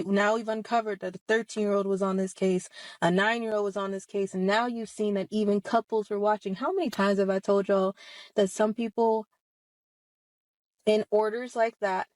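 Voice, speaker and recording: A thirty-year-old woman speaks straight to her audience in impassioned personal testimony. Her voice sits at a middle pitch with a dry, echo-free sound. She is emphatic and confrontational, pressing her points through rhetorical questions. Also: a little mellow. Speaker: online video host speaking about personal experience.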